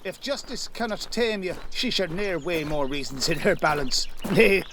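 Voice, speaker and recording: A bumbling constable in a comic Shakespearean radio play. His voice is very high for a man. He is self-important and pompous, hesitating and humming as he sets out each point. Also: expressive, normal speed, fairly bright tone, slight background noise. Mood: officious muddled. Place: home recording.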